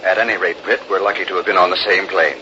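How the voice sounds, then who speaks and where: An actor playing a confident, determined investigator in an American radio drama, speaking formally and deliberately with an American accent on a dull, noisy old recording. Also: male voice, low voice, little pitch movement, natural voice. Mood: grave, determined. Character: determined, confident one-man grand jury investigator, father.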